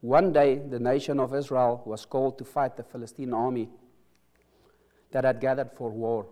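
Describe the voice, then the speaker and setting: A middle-aged man with an Afrikaans accent delivers a sermon in an earnest, halting way, stressing words and restarting phrases. His voice is dark and mellow at a mid pitch. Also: flat delivery. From South Africa. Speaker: preacher. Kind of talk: sermon with opening prayer.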